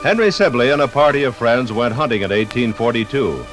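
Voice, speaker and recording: A man's voice: mid-range voice, animated, fairly quick, over music. A man narrating a scripted 1950s radio documentary in a solemn, rhetorical way. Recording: 1950s radio recording, faint background noise.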